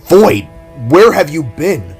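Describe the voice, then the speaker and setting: A man voicing a frantic, excitable young male police officer who stammers when flustered. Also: fairly high pitch, slow, slightly rough, soft background music, pitched above the performer's own voice, heavily acted.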